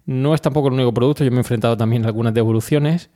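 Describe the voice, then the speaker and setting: A man's voice: mid-range voice, very fast, dark tone. A man with an accent from Spain hosting an informal solo podcast, explaining things and speaking directly to his listeners. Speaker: podcast host.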